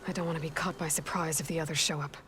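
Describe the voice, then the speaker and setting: A woman's voice: sits low, steady tone, racing, fairly bright tone, slight background noise. An actress playing a determined, guarded young female explorer in a video game, speaking in a matter-of-fact, focused way. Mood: commanding. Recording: game music behind the voice.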